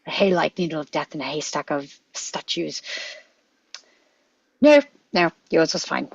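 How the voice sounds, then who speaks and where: Eccentric male adventurer doctor, played by a woman: husky, slow, wry, musing, on a dull mic. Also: low voice, no room sound, full character voice. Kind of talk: script table read. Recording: dull lo-fi microphone.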